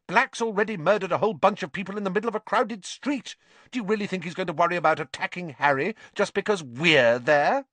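A man voicing a bright, bossy teenage schoolgirl witch in a storybook, with an earnest, sharp manner. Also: mid-range voice, huge pitch swings, normal speed, few pauses, breathy edge, no echo, pitched above the performer's own voice. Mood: cross.

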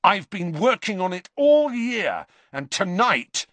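Brief: man putting on a scared, defiant young wizard, tense and vehement, pitched up and pushy, dry close sound. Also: big pitch swings, moderate pace, a bit bright, dry recording. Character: defiant, frightened teenage boy wizard.

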